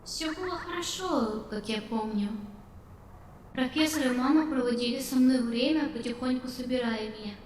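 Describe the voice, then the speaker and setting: A young robot girl voiced by a woman talks in a polite, childlike, confiding way. Her voice is fairly high and wistful, like a game character. It sits in long reverb with faint noise behind it. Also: moderate pace, a little mellow, recorded from a distance, close to an everyday voice. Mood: sad, reflective. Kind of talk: game character dialogue dub.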